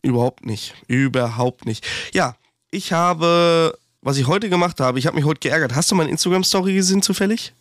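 A man's voice: fairly high pitch, a little fast, a bit bright, no room sound, clean studio sound. A man co-hosting a casual podcast about heating repair, chatty and swearing, talking with a slight cold. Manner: chatty, swearing, rambling with restarts. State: has a cold. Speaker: podcast co-host, heating technician.

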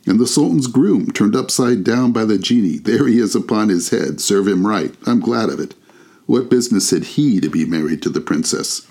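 A man voicing an excitable, emotional old man, childlike and earnest, in an audiobook. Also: low-pitched, normal speed, creaky, heavily acted. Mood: delighted, excited. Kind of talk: audiobook character voice.

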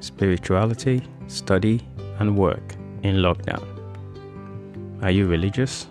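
Guy hosting a podcast, fairly deep voice, casual chatty talk, bit of music under it. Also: a little mellow. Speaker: podcast host.

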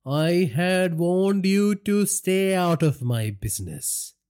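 A man's voice: high voice, lively intonation, takes it slowly, a little mellow, pitched above the performer's own voice. A man voicing a gloating middle-aged male gang boss, mocking and sing-song. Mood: menacing.

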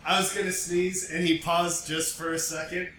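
A man's voice: fairly high pitch, level tone, normal speed, fairly bright tone, echoey room, slight background noise. A man co-hosting a podcast in casual, joking chat with playful interjections. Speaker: podcast co-host.

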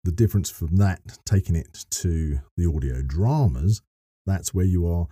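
A man's voice: deep voice, animated, normal speed, mellow, slightly rough, no room sound, studio quality. A middle-aged man speaking earnestly as a podcast guest, explaining his work as a voice actor and narrator in wordy, often rephrased sentences.

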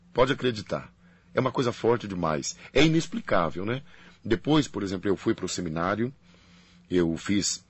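Deep husky priest. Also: male voice, no echo. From Paraná, Brazil. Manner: calm, explanatory, repeats key phrases. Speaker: priest and faculty representative. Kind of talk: interview guest explaining at length.

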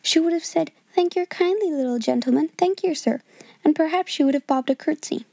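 A woman plays a sensible young girl from a storybook, sure of her opinions and quick to explain. Her voice sits raised and soft, with a mellow, close sound. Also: sits high, creaky, no room sound, pitched above the performer's own voice. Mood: decisive. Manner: decisive, a little anxious.

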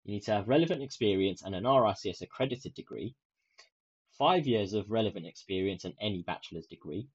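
A young man from the UK hosting his own podcast in a soft, soothing voice, rambling with many ums and restarts. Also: lowish voice, expressive, fast, breathy edge. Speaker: podcast host. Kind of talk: casual solo podcast chat. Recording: dull lo-fi sound.

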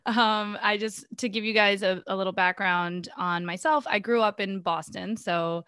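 A young woman in her twenties, from Brazil, talks casually on a dry, close podcast mic. She is chatty and full of quick asides. Her pitch sits in the middle of the usual range for a woman. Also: fairly even intonation, fairly bright tone, clear, resonant tone, dry recording. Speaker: podcast guest coach. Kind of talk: casual interview chat.

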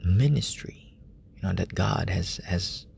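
Hushed gravelly low-voiced male preacher. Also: slight background noise. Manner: hushed, halting, many fillers. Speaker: lay preacher. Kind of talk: informal late-night sermon. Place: room in a relative's house at night.

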